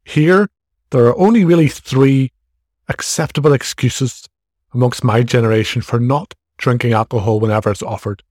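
A man's voice: medium pitch, moderate pace, a little mellow, no echo, clean studio sound. A man in his forties with an Irish accent, hosting his own podcast in a frank, chatty way. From Ireland. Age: 40s. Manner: frank, chatty, self-deprecating. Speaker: podcast host. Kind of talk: casual solo podcast monologue.

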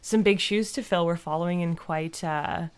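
Low-voiced Canadian woman, chatty and informal. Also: normal speed, clean tone. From Fredericton, New Brunswick, Canada. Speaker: podcast guest, audio drama creator. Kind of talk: casual interview chat.

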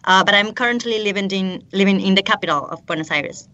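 A woman with an Argentine accent answering interview questions as a guest expert, measured and explanatory. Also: medium pitch, sharp tone. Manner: measured and explanatory, occasional repeats. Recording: dull lo-fi line.

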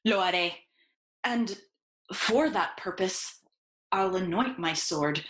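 A woman's voice: fairly low pitch, lively intonation, slow pace, a bit bright, reverberant. A woman playing a grieving, vengeful young nobleman in a Shakespearean audio play, with intense declamatory delivery. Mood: grim resolve.

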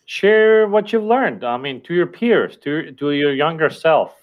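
A man's voice: on the high side, animated, unhurried, phone-quality sound. A man whose first language is Estonian, a podcast guest speaking thoughtfully with fillers and restarts. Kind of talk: casual interview talk.

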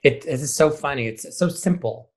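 Expressive man talking casually in an interview. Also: fairly high pitch, normal speed. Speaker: high school theater teacher and director. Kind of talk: casual conversational interview answers.

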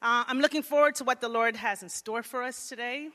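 A woman from Jamaica addresses a church congregation in a lively, direct way. Her voice is bright, and the long reverb of the sanctuary trails after each phrase. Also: on the high side, brisk. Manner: lively, engages congregation, softens into prayer. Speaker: guest speaker. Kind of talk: church sermon talk. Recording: long reverb of a large hall.

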